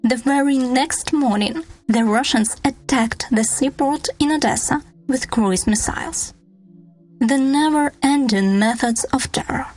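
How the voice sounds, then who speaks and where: A woman's voice: sits high, unhurried, darkish tone, faint music behind, low-quality recording. A woman hosting a podcast, reading scripted documentary narration. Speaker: podcast host.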